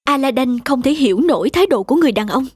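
A woman's voice: sits high, expressive, fast, a little mellow, husky, no room sound, clean studio sound, soft background music. A woman storyteller reading a scripted fairy tale for children.

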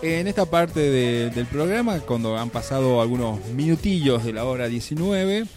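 A man's voice: medium pitch, lively intonation, normal speed, music in the background. A man hosting a radio show, speaking loosely with many hesitations. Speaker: radio host. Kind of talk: radio presenting.

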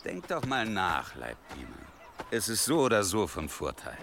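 A man's voice: lowish voice, huge pitch swings, moderate pace, creaky, fairly bright tone, slight background noise, heavily acted. A man in a German radio drama playing a sadistic, cunning henchman who speaks in a mocking, menacing, sardonic way. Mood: mocking, calculating. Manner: taunting, sardonic, toying with the listener.

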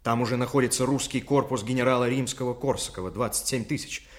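Actor as a scheming, insistent statesman, mid-pitched. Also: steady tone, quick pace, natural voice. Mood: cold, calculating. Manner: insistent, emphatic. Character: scheming Austrian statesman, commanding and calculating. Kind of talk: Soviet radio drama acting.

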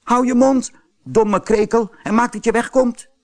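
A man's voice: very high voice, steady tone, unhurried, voice pushed up. A man voicing a naughty, headstrong wooden puppet boy in a children's storybook. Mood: angry. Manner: bratty, exclamatory.

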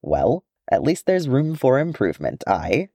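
A man voicing a proud young dwarf warrior from a storybook, gruff and formally courteous. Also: medium pitch, wildly swooping pitch, measured pace, warm tone, dry recording, studio quality, full character voice. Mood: gruffly civil.

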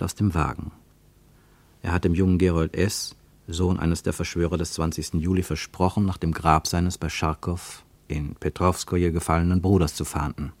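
A man narrating a literary German radio play as a reflective storyteller, with measured, lyrical phrasing. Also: low-pitched, a little mellow, dry recording. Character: reflective male narrator of a literary travel account.

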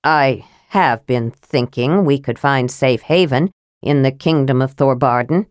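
A woman reading the part of a weary half-elven man who speaks in a measured, reluctant way. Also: extremely low pitch, a little mellow, no echo, studio quality, voice pushed down.

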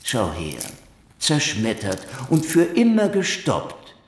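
An actor playing a cynical Soviet secret police investigator in a German radio crime drama, with a sardonic, taunting, theatrical delivery. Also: male voice, mid-range voice, huge pitch swings, takes it slowly, roomy sound, slight background noise, exaggerated voice. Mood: accusing, mocking.

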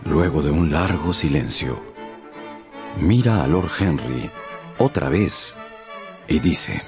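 A man narrating a radio adaptation of a novel in a solemn, theatrical style with deliberate pauses. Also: extremely low pitch, animated, over music. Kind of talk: dramatic scripted literary narration for radio.

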